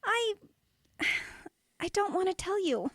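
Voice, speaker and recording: High-voiced, fussing older wife of Santa. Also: female voice, very animated, slow pace, no echo, clean studio sound, exaggerated voice. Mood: worried, coaxing. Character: Santa's older wife, caring, gently scolding. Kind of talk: radio comedy drama acting.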